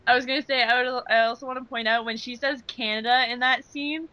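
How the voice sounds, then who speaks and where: A woman's voice: fairly high pitch, steady tone, fast talker, pushed and bright, no echo, highs cut off. A woman chatting casually in a self-deprecating manner. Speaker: voice actor and editor on a fandub. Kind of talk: casual chat.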